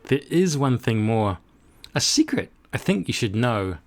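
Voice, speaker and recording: A man's voice: mid-range voice, big pitch swings, a little slow, a little mellow. A man voicing a modest, kindly male van driver in a children's picture book, earnest and obliging. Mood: worried.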